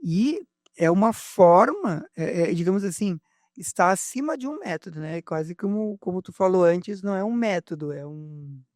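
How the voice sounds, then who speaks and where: A man's voice: high-pitched, lively intonation, normal speed, darkish tone, dry recording, clean studio sound. A man, co-hosting a podcast in casual conversation, hesitant, with many fillers and restarts. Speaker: podcast co-host.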